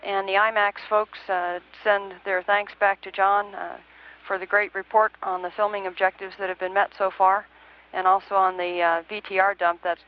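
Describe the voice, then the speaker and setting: A woman working as a mission control communicator, talking procedurally but conversationally to a crew over the radio. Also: fairly low pitch. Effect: radio transmission. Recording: dull band-limited space-to-ground radio link.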